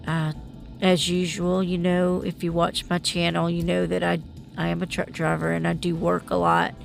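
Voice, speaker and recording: Gravelly, slow-talking woman with a stuffy nose. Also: lowish voice. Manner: rambling, self-deprecating, laughs often. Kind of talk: casual voiceover narration of beading.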